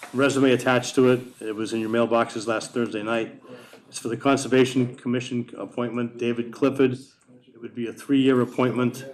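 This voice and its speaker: A man's voice: mid-range voice, a little mellow. A man chairing a town select board meeting, reading agenda items in a plain, procedural way.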